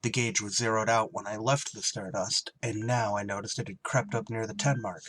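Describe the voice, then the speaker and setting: A man's voice: lowish voice, steady tone, moderate pace, a bit bright, natural voice. Man playing a space pilot, noisy recording. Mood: grave narration. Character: wry, duty-bound male emergency shuttle pilot. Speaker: actor and first-person narrator in an audio drama. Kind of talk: retro radio drama, scripted narration and dialogue. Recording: clear background noise.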